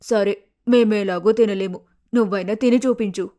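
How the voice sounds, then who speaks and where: A woman's voice: mid-range voice, fairly even intonation, moderate pace, warm tone, lightly acted. A woman voicing a stern, scolding older sparrow mother-in-law in a cartoon. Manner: scolding, firm.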